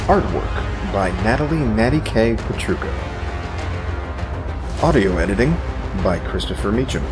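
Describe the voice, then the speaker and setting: A man delivering scripted end-credits narration as the announcer of an audio production. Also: fairly low pitch.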